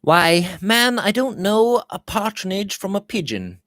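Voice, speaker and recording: A man voicing a lively, carefree young gentleman barrister in an emphatic, insistent manner from a storybook. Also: high-pitched, takes it slowly, dry recording, clean studio sound, voice pushed up, exaggerated voice. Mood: cheerful, persuasive. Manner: exclamatory, breezy, emphatic.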